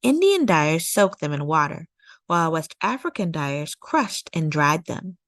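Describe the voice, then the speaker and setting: Female storytime narrator for children's nonfiction. Lower-leaning pitch, measured delivery, very little room sound. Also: sing-song, no echo, clean studio sound. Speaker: storytime read-aloud host. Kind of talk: scripted read-aloud narration of a children's nonfiction picture book.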